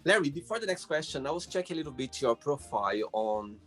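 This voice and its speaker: A man hosting a casual interview, asking questions and telling stories in a halting way with repeated words. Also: on the high side, sing-song. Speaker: host. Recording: faint music behind.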